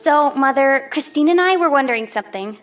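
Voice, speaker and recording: Dutiful fifteen-year-old daughter, high-pitched, politely persuasive, radio drama with music beneath. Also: female voice, animated, fairly quick, fairly bright tone. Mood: eager, reassuring. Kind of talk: audio drama acting. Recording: music under the voice.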